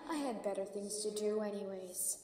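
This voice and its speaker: A jaded, smirking mare of the demon caves, played by a woman, talks in a middling female pitch. She is dismissive and taunting, a fantasy audio drama character over faint music. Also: moderate pace, mellow, roomy sound, long reverb tail, close to an everyday voice.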